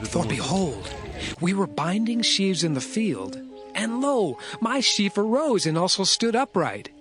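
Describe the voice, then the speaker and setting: An earnest seventeen-year-old dreamer in a Bible audio drama, played by a man. The voice is fairly high and rises and falls expressively as he declaims, over prominent music. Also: big pitch swings, a little slow. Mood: excited, wondering.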